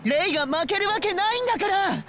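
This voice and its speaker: An actress playing a feisty, loud young woman urging on a friend in a Japanese visual novel. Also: very high-pitched, animated, very fast. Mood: fierce urging. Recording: loud game music behind.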